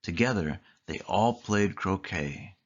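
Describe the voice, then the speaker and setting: A storyteller reading a scripted children's story aloud in measured phrases with deliberate pauses. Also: deep voice, animated, dull audio. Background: clear background music.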